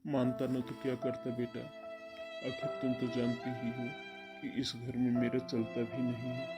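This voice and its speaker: A man voicing a gentle, powerless ageing father, soothing and yielding, in a cartoon family melodrama. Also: medium pitch, monotone, moderate pace, loud music behind the voice, close to an everyday voice. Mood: resigned.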